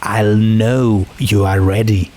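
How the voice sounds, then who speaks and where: A man's voice: lowish voice, fairly even intonation, slow pace, a little mellow. A man voicing a smug, cheerfully cruel young pony princess in a story, condescending and sweetly menacing.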